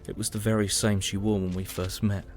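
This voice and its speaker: Casting: male actor as weary young British Great War soldier, lowish voice, measured and reflective journal monologue over prominent music. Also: flat delivery, natural voice. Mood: quiet, wistful. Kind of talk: dramatic first-person audio drama monologue.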